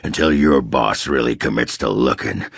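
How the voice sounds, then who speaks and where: A man playing a crude, boozy, boastful dwarf warrior in a video game, with a rough, husky voice and a swaggering drawl. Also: a bass voice, normal speed, fairly bright tone, no echo, clean studio sound, full character voice. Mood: surly. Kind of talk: video game character acting.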